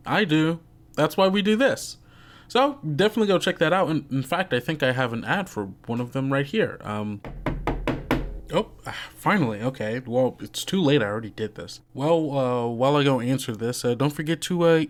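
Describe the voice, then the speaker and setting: A game master and podcast host improvising descriptive narration for a tabletop roleplaying game in a conversational way. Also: medium pitch.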